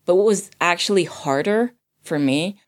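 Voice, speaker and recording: A woman's voice: on the low side, moderate pace. A woman in her thirties or forties talking casually about a film, earnest and reflective. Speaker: podcast guest discussing film.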